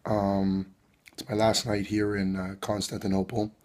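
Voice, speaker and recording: Brief: male priest, low-ish voice, hoarse from a cold, halting with lots of ums. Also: level tone, a little mellow. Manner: hesitant, many ums and uhs. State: hoarse, losing his voice. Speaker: Orthodox priest. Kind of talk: video blog address. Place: abroad on a trip.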